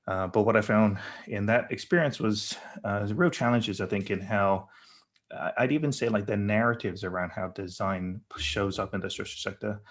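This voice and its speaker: A man's voice: lowish voice, moderate pace. A middle-aged man born in Burma and raised in England, with an English accent, speaking thoughtfully as a panel guest with many fillers and restarts. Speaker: panel guest, company founder. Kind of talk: conversational panel talk.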